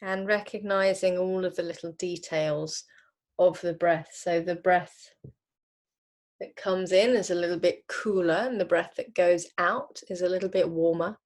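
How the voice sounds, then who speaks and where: A woman leading a guided relaxation as a yoga teacher, unhurried and gently instructing, leaving pauses between phrases. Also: fairly low pitch, steady tone.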